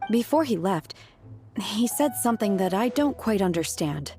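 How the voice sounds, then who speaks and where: A woman voicing a reserved, earnest young exorcist who speaks quietly and carefully in a video game. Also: mid-range voice, animated, soft background music, natural voice. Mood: pensive. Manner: slow, quiet, measured, earnest.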